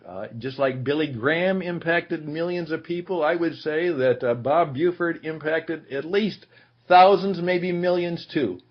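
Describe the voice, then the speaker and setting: A man's voice: a little high, unhurried. A man hosting an interview show, chatty and self-deprecating as he introduces his guest. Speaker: podcast host. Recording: muffled lo-fi sound.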